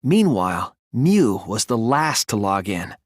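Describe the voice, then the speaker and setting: A man playing an earnest, analytical young male detective in an English video game dub, reasoning deliberately and articulately. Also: on the low side, big pitch swings, no echo, clean studio sound. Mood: grave, subdued. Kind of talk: English game dub, dramatic courtroom deduction.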